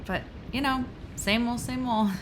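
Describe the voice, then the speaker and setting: A woman's voice: on the high side, steady tone, unhurried, noisy background, lightly acted. An actress playing a conflicted, evasive wife and mother in a musical audio drama, speaking in a hesitant, dry, guarded way. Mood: uneasy, evasive.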